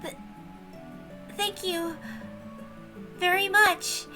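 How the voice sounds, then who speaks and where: A woman's voice: very high-pitched, little pitch movement, very slow, voice pushed up, full character voice. A woman voicing a timid, polite young servant girl in a visual novel reading, hesitant and deferential over music. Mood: shy.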